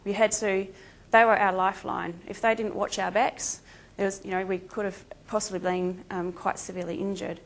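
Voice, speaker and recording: A woman's voice: mid-range voice, level tone, normal speed, few pauses. An Australian woman with a New Zealand-tinged accent giving a chatty, self-deprecating conference talk with frequent ums. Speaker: conference speaker, veterinary emergency team member. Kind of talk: conference presentation.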